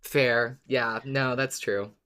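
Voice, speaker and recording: Podcast co-host, medium pitch, casual chat, easygoing, agreeable. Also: steady tone, slow pace, pushed and bright.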